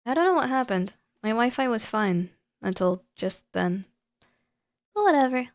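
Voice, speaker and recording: A woman's voice: a little high, big pitch swings, moderate pace, dry recording. A woman giving casual, informal livestream commentary on horror games, thinking aloud in a hesitant way. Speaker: video game livestreamer.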